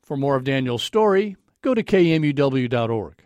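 A man's voice: mid-range voice, very animated, normal speed, a little mellow, no room sound, lo-fi sound. A man hosting a radio news magazine, reading scripted introductions into a studio microphone. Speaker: radio show host.

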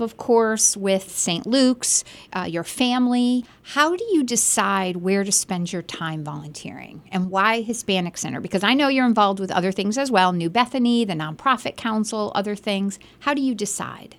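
A woman's voice: mid-range voice, expressive, moderate pace, a bit bright. A woman hosting an interview, asking long, framed questions and affirming her guest. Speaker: TV interview host.

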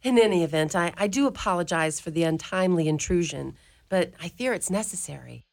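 A woman playing an outspoken elderly mother superior of a convent in a community radio play, talking brisk and firm. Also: fairly low pitch, animated, vocal fry. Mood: wry. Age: older. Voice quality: brisk, firm and preachy, with ready laughs. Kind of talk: community radio drama acting.

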